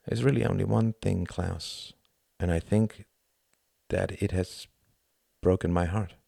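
A man voicing a reserved, quietly troubled young Englishman who speaks in a restrained, polite way. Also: deep voice, steady tone, unhurried, gravelly, no echo, clean studio sound, full character voice. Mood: anguished.

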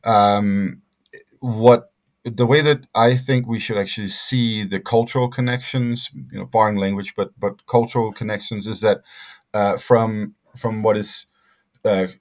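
A middle-aged man answering interview questions as a scholar, speaking haltingly with many fillers and restarts. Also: lowish voice, fairly even intonation. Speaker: guest scholar.

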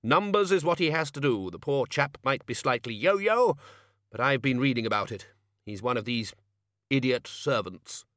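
A man's voice: medium pitch, expressive, normal speed, a bit bright, dry recording, studio quality, pitched above the performer's own voice, close to an everyday voice. A man voicing a pompous, hearty older male wizard who runs a college, in an audiobook. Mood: dismissive. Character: blustering, self-assured older male wizard, head of college. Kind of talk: audiobook character acting.

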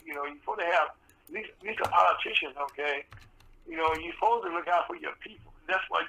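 A man hosting a radio talk show with a soft voice, rambling and full of fillers and restarts. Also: fairly high pitch, creaky, poor audio.

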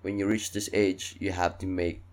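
Earnest low-voiced Filipino man. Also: little pitch movement, moderate pace, a crowd in the background. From Philippines. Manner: earnest, reflective, repeats words. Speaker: podcast co-host. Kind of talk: casual chat.

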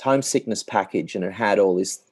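オーストラリア訛りの30代男性が、ポッドキャストで淡々と単調な口調で雑談している。. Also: male voice, fairly quick, breathy edge, dry recording, clean studio sound. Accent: Australian. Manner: monotonous, low-key delivery. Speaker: podcast co-host, musician. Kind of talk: casual chat.

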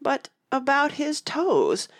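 A woman's voice: high-pitched, big pitch swings, slow pace, full character voice. A woman playing a doleful, sentimental Mock Turtle with a ponderous, mournful delivery in an audiobook. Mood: puzzled, complaining. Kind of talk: full-cast audiobook character acting.

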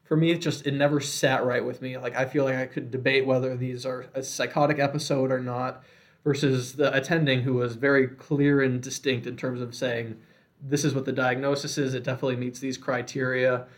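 A man's voice: mid-range voice, flat delivery, brisk. Earnest young man giving advice. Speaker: medical student. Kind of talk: casual podcast discussion.

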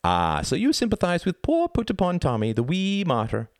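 A man's voice: high voice, wildly swooping pitch, moderate pace, dry recording, clean studio sound, voice pushed up, heavily acted. A man voicing a haughty, defiant young boy with pompous, precocious phrasing in a story reading. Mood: haughty, taunting.

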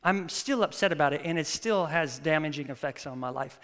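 High bright-voiced pastor in echoing hall. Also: male voice, fairly quick. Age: 30s. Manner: animated, storytelling with acted-out quotes. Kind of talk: sermon with prayer and stories. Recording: long reverb of a church hall.

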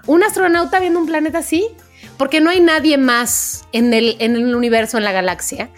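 Mexican woman, high voice, podcast co-host, casual storytelling, animated, light music behind. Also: brisk. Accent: Mexican Spanish. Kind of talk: casual chat among friends.

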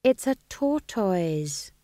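A woman's voice: mid-range voice, very animated, extremely slow, mellow, no echo, voice pushed up. A woman voicing a timid but stubborn young witch in an audiobook, earnest, quavering and defensive. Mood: earnest, defiant. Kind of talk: audiobook character voice.

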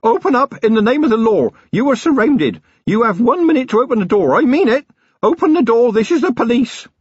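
Man voicing an eager, high-pitched young country constable. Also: very animated, moderate pace, slightly rough, no room sound, highs cut off, exaggerated voice. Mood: shouting, commanding. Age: young adult. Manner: earnest, rambling, anxiously deferential. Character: eager, naive, deferential young country policeman.

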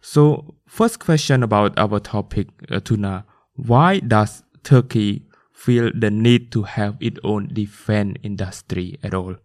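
A man's voice: fairly low pitch, takes it slowly, a little mellow, no room sound, clean studio sound. A man hosting a student podcast, reading a formal intro and then asking courteous interview questions. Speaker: podcast host.

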